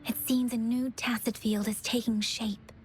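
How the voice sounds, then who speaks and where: A woman playing a calm, caring young female scout in a video game, soft-spoken, measured and polite. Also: fairly high pitch, a little hiss or hum, close to an everyday voice. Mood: calm, serious. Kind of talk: video game character voice acting. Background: background game music.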